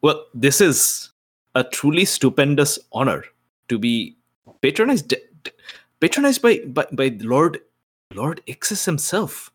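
A man's voice: fairly high pitch, big pitch swings, measured pace, slightly rough, voice pushed up, full character voice. Man playing a flowery, nervously polite sword master. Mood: forced charm. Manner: flowery, over-polite, faltering. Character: deferential, nervously charming sword school master.

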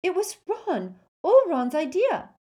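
A woman voicing an excitable, clever teenage girl who talks breathlessly. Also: on the high side, unhurried, pitched above the performer's own voice. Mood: excited, gushing. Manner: breathless, gushing.

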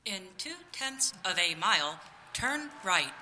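A woman voicing a stubborn GPS navigation machine in a live comedy audio play, measured, clipped and insistent. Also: medium pitch, very animated, bright, forceful tone, slight background noise, full character voice. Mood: neutral.

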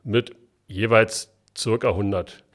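A man's voice: on the low side, steady tone, slow. A man from East Berlin with a Berlin accent, a guest talking plainly about his work, with many restarts. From East Berlin, Germany. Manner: plainspoken, frequent restarts and fillers. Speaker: works council chair, guest. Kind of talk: casual interview talk.

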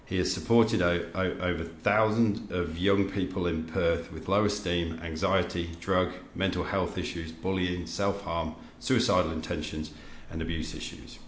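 Deep-voiced male podcast host. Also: steady tone, moderate pace, few pauses, a little hiss or hum. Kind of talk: reading an introduction and asking questions. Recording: echoey room.

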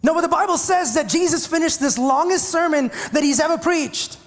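A man's voice: very high voice, a little fast, in a large hall. A man from India with an Indian English accent, a pastor giving a chatty, joking sermon to his congregation. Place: church sanctuary.

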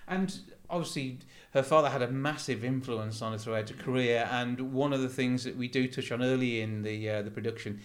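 A man's voice: mid-range voice, steady tone, brisk, hardly any pauses. A man hosting a show, interviewing guests in a chatty way and steering with questions. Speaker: radio show host.

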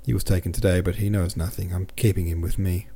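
A man voices a principled cavalry officer from a storybook, stubborn and indignant. He speaks emphatically in a dark, husky voice. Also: sits low, level tone, quick pace. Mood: firm. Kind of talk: storybook character reading.

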